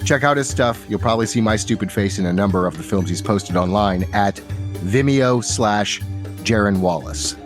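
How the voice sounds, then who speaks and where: A man with a fairly low voice hosts a tabletop roleplay show as its dungeon master, moving between a bright announcer-style welcome and a steady story recap. Music plays clearly beneath him. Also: normal speed. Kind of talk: podcast intro and recap narration.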